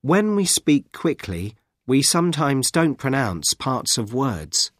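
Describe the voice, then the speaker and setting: A man explaining pronunciation in a slow, careful, scripted delivery for a coursebook audio. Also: mid-range voice, big pitch swings, dry recording. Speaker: audio narrator. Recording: dry studio recording.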